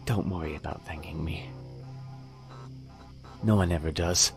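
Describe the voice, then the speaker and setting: A man playing a deranged, self-righteous serial killer in a dramatic audio drama, halting and menacing, sometimes dropping into a whisper. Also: sits low, gravelly, over music.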